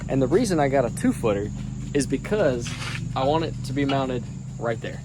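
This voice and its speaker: A man's voice: mid-range voice, big pitch swings, normal speed. Folksy man rambling outdoors. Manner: rambling, folksy, restarts phrases while working. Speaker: DIY truck vlogger. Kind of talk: casual how-to vlog. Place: outdoors beside a truck, crickets around. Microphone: handheld camera, no tripod. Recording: noisy outdoor recording with crickets.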